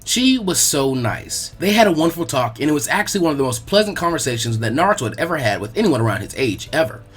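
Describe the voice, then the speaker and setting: A man's voice: fairly high pitch, big pitch swings, fast talker, slightly rough. A man reading a scripted story aloud as a dramatic narrator. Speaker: narrator of fan fiction readings. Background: music.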